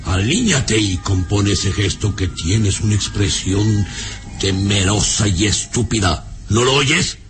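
A man's voice: fairly low pitch, animated, slow, soft background music. A man playing an imperious, scornful baron and father in a theatrical, haughty, commanding radio serial style. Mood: angry, domineering. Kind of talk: old radio serial acting.

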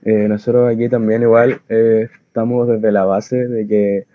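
A man's voice: lowish voice, flat delivery, measured pace, no echo. A man giving an informal testimony as a community volunteer, hesitant and correcting himself as he goes. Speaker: community soup kitchen participant. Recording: dry, narrow phone-like audio.

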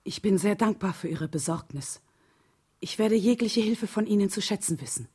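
Eine Schauspielerin spielt in einem Hörspiel eine besorgte, würdevolle Ehefrau, ernst und gefasst. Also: female voice, mid-range voice, lively intonation, moderate pace, warm tone, husky, no room sound, studio quality. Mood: composed, grateful. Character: anxious, dignified wife of a jailed man. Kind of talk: radio drama narration and dialogue.

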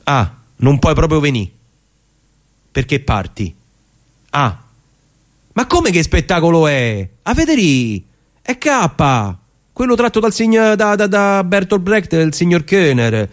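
A man's voice: a little high, very animated. A man acting a stage performer, in a theatrical monologue that moves between casual talk and literary reading. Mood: flustered, casual. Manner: halting and repetitive on the phone, measured when reading. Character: chatty stage actor on a phone, rehearsing alone.

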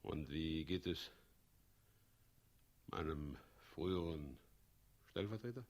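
Gloating despot for radio drama: slow, grandiose, mocking delivery with wildly swinging intonation. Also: male voice, very deep voice, expressive, a little mellow, heavily acted. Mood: smug prying. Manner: pompous, mocking, commanding, chuckling. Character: gloating tyrant mayor turned president, smug and menacing. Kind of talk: 1960s German radio play acting.

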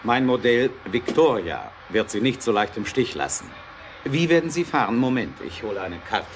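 A man acting a determined, practical inventor in a German radio play, measured, persuasive and drily ironic. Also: mid-range voice, big pitch swings, a little hiss or hum.

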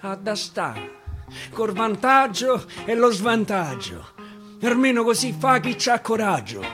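A male stage actor with a Roman accent recites dialect verse theatrically, swinging from hushed to loud. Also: high voice, very animated, takes it slowly. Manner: dramatic, swinging from hushed to shouting. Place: radio studio. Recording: clear guitar music underneath. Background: plucked guitar accompaniment.

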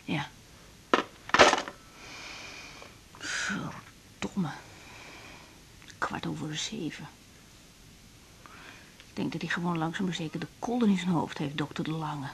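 An actress playing a young doctor, tender and troubled, in an intimate, hesitant radio drama style. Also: female voice, deep voice, measured pace, vocal fry, long pauses, a little hiss or hum. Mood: weary, irritated. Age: young adult. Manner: intimate, hesitant, sighing delivery. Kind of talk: naturalistic radio drama acting.

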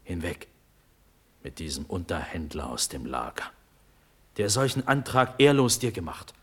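A proud young condemned prince in a classical radio play. The voice is low and husky and declaims verse slowly and fervently, swinging widely between hushed and ringing. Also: male voice, sing-song, heavily acted. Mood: urgent pleading. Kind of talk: classical German radio drama acting in verse.

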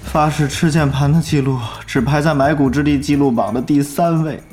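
A man's voice: fairly high pitch, fairly even intonation, moderate pace, a little mellow, soft background music, natural voice. An actor playing an ingratiating, persuasive male guild leader in an online game, speaking in an audio drama. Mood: sheepish.

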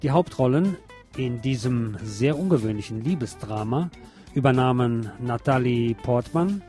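Man, film reviewer, dark relaxed voice, narrated review, faint music underneath. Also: mid-range voice, unhurried. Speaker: film reviewer, podcast host.

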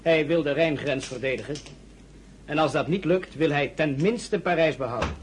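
A man's voice: fairly high pitch, moderate pace, slight background noise, natural voice. A man playing a loyal, solemn army colonel in a radio drama, speaking formally and with grave respect. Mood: weary, respectful. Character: loyal, solemn French colonel home from defeat.

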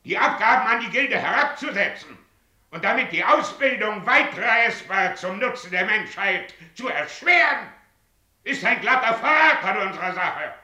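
An actor playing an irate, bombastic guild chief who dictates in a declamatory, indignant way in a radio drama. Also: male voice, sits high, lively intonation, moderate pace, sharp tone, roomy sound, full character voice. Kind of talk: 1970s German radio drama acting.

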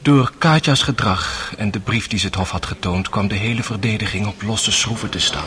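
An actor playing a reflective narrator who recounts events in a measured way in a radio drama. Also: male voice, lowish voice, a crowd in the background, close to an everyday voice. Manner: measured, storytelling.

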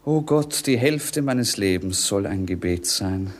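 An actor in a 1950s German radio drama playing a melancholy, world-weary young prince with a lyrical, theatrical delivery. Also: male voice, lowish voice, lively intonation, unhurried. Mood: brooding.

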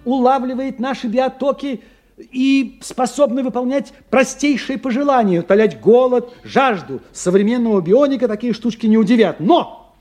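A man's voice: extremely high pitch, moderate pace, a little mellow. A man acting in a radio drama as a tipsy, learned scientist who lectures emphatically, stammering and repeating himself. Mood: insistent, explaining. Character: excitable, tipsy, learned male scientist explaining mysteries.

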